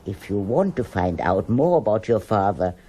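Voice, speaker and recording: A woman's voice: very deep voice, big pitch swings, moderate pace, mellow, slightly rough, exaggerated voice. An actress playing a stubborn, judgmental older woman in a radio drama. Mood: defensive, indignant. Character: stubborn, defensive old German woman, gossipy neighbour.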